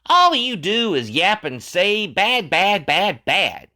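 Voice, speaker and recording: A man's voice: high voice, sing-song, slow, sharp tone, pitched above the performer's own voice, full character voice. A man voicing a greedy, defiant factory boss from a storybook, justifying himself emphatically in rhyme. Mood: angry, defiant. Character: defiant, greedy male factory boss narrating his regretful tale. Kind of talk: storybook character in rhymed verse.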